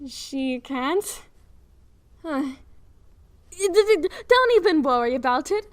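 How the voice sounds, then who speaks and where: A woman voicing a vain, bubbly, naive young dragon princess in an airy, girlish way for an animated fan dub. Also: sits high, slow pace. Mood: smug, airy. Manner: airy, self-satisfied, girlish.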